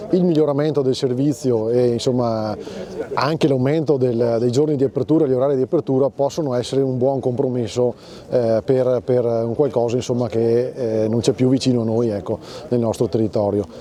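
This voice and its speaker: A man with a very mellow, dark voice and mid-range pitch answers questions as a local official. He speaks off the cuff outdoors, hesitating and doubling back on his phrases. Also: steady tone, normal speed. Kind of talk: spoken news interview, unscripted.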